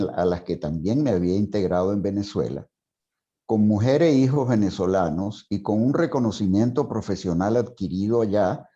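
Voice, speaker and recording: Older Spanish-born male presenter, prepared reading, deep mellow voice, lo-fi sound. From Spain. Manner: measured, partly read aloud, with fillers. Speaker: speaker at a psychoanalysis talk. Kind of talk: reading a prepared personal account. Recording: dull lo-fi sound.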